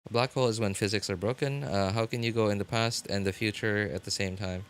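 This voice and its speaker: A man livestreaming a game, rambling casually and thoughtfully, often halting and repeating his phrases as he works out a thought. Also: fairly low pitch, fairly even intonation, clean tone. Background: background music.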